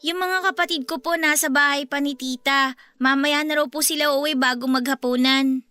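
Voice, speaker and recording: High, bright-voiced dutiful little girl. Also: steady tone, moderate pace, no echo, faint music behind. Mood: eager, concerned. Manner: polite, earnest, pleading. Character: dutiful, anxious young eldest daughter, about ten. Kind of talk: radio drama acting.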